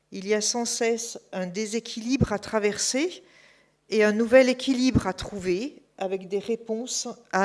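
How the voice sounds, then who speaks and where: A woman's voice: medium pitch, darkish tone. A woman hosting an evening lecture, formally introducing the guest speaker and reading his career from notes. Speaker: event host introducing a speaker. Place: lecture hall.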